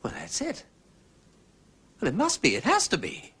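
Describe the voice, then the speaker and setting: Casting: urbane upper-class English amateur detective, lively intonation, old-fashioned exclamations, radio drama. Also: male voice, a little high, moderate pace, creaky, slightly rough, heavily acted. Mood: excited.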